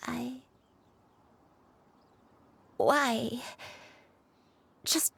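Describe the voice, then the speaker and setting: An actress playing a frail, gentle old grandmother in a video game, speaking slowly and wistfully, weighed down by remorse and failing memory. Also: on the high side, fairly even intonation, vocal fry, husky, no room sound, heavily acted. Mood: tender, sad. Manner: slow, wistful, careful delivery. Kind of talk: dramatic video game voice acting.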